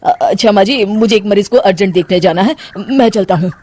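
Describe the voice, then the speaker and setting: A woman voicing a glib male sham doctor in a cartoon story, speaking in a measured, placating way. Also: mid-range voice, lively intonation, a little mellow, natural voice. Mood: nervous. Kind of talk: Hindi cartoon moral story acting.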